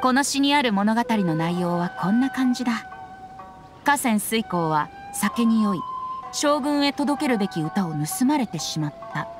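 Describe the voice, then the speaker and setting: A woman's voice: fairly high pitch, lively intonation, measured pace. A woman voicing a playful, breezy young bard with airy, boyish, teasing speech in an anime game. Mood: thoughtful. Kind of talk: anime game cutscene acting. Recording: music behind the voice.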